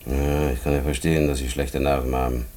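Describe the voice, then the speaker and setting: An actor playing an easygoing, gruffly fatherly prison warder who talks colloquially and soothingly in a mid-century German radio play. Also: a bass voice, flat delivery, a little mellow. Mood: placating. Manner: colloquial, placating, drawn-out hums. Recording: noisy old radio play recording.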